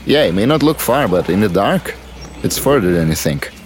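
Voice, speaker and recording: An actor playing a cautious, grave male expedition guide in an audio drama, measured and foreboding. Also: lowish voice, big pitch swings, slight background noise. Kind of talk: immersive audio drama acting.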